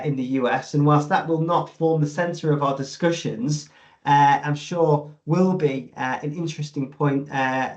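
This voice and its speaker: Male podcast interviewer, slightly high voice, hesitant conversational phrasing, somewhat dull recording. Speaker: podcast host.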